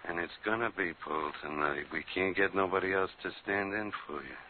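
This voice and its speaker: A man's voice: low-pitched, brisk, phone-quality sound, lightly acted. An actor playing a menacing, impatient gangster in a 1950s radio crime drama, with slangy tough-guy talk. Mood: threatening. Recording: hissy, band-limited old radio broadcast.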